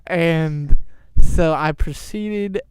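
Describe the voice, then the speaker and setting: A young man telling an improvised story in a halting, informal way. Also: on the high side, darkish tone, smooth, low-quality recording. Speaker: storyteller, show host.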